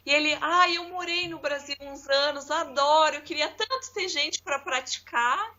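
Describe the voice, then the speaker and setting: A woman, co-hosting a casual chat and telling lively stories straight to the viewers. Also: high-pitched, moderate pace. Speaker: co-host. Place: abroad, remote call.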